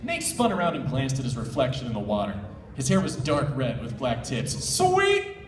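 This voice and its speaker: An excitable, jokey man reading fan fiction live as a panel host with comic banter. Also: medium pitch, moderate pace, noisy background. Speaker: panel host and story reader. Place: convention panel room. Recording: echoey room, distant mic.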